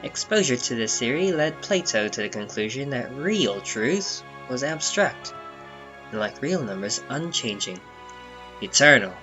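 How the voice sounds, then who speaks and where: A man's voice: mid-range voice, big pitch swings, measured pace, muffled recording. A man narrating a comic book dramatically, with theatrical, emphatic phrasing. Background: background music.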